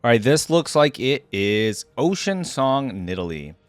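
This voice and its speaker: A man's voice: medium pitch, sing-song, measured pace, slight background noise. A man giving a casual, informal live reaction commentary, thinking aloud with frequent exclamations. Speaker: reaction video host. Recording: music playing behind the voice. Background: game music and sound effects.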